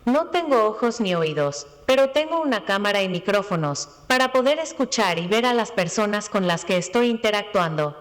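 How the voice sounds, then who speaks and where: A synthesized female voice speaking as a calm social robot that explains itself. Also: mid-range voice, moderate pace, hardly any pauses, lightly acted. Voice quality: synthetic computer voice. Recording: long hall reverb.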